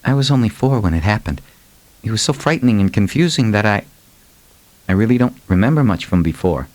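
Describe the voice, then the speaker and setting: A man's voice: on the low side, animated, a little fast, darkish tone, dry recording, pitched above the performer's own voice, lightly acted. A male audiobook reader voicing a shy, eager young woman who talks hesitantly and a little hurriedly. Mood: curious, eager. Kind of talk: audiobook character dialogue.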